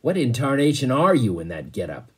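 A man voicing a gruff, blustering old sea captain in a storybook, roaring out salty orders. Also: medium pitch, big pitch swings, brisk, pitched above the performer's own voice. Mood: gruff, angry. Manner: roaring, bullying, salty exclamations.

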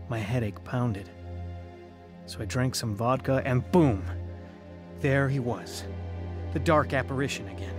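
A man's voice: medium pitch, lightly acted. An actor playing a troubled young man in a radio drama, telling his own conversion story in an earnest, dramatic way. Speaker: narrator and lead actor. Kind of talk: dramatized first-person testimony in a radio drama. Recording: dramatic music under the voice.

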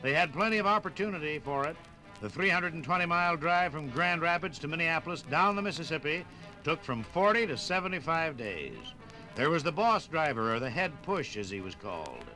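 A man's voice: a little high, expressive, fairly quick, sharp tone, faint music behind, poor audio. An American man narrating a scripted 1950s radio documentary in a dramatic, folksy storytelling manner.

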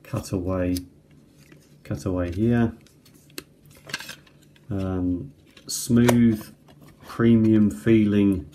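A middle-aged man giving a casual, unscripted hands-on product review in an unhurried, rambling way. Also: on the low side, fairly even intonation, dark tone. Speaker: amateur cassette tape reviewer. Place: indoors at a kitchen table. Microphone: tablet's built-in mic at close range. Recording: noisy home tablet recording with clicks.

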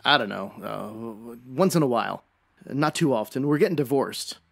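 An actor playing a surly, evasive husband in an old-time radio drama, grudging, defensive and halting. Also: male voice, medium pitch, vocal fry.

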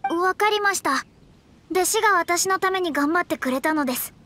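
A woman's voice: very high-pitched, moderate pace, sharp tone. A woman voicing a proud young astrologer in a Japanese anime game, prim and polite but stammering when flustered. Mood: bashful, proud. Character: proud young female astrologer, easily flustered, putting on dignity. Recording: music behind the voice.

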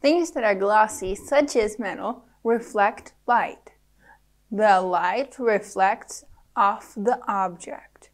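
A young adult woman presenting a children's science lesson, reading scripted simple English deliberately and carefully, with pauses between phrases. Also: mid-range voice.

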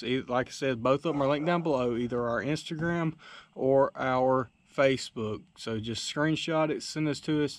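A man's voice: medium pitch, a little hiss or hum. A young man, rambling casually to his viewers with many fillers, recorded outdoors on a camera held at arm's length. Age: young adult. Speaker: outdoors channel host. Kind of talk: casual vlog outro. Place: outdoors in a dirt field by trees.

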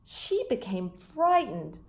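A woman's voice: mid-range voice, sing-song, slow. A woman in her thirties reading a children's storybook expressively, with teacherly asides, recorded indoors at home. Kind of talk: expressive picture-book read-aloud. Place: front room of a house, indoors.

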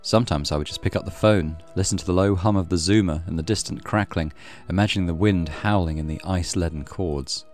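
Deep-voiced man narrating a visual novel. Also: a little fast, few pauses. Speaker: let's play host, narrator. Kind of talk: reading visual novel narration aloud with casual commentary. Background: soft game music.

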